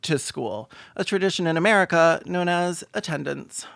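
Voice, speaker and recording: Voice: medium pitch, moderate pace, vocal fry, very noisy. A sarcastic host giving mocking, theatrical comedic commentary in a reaction show.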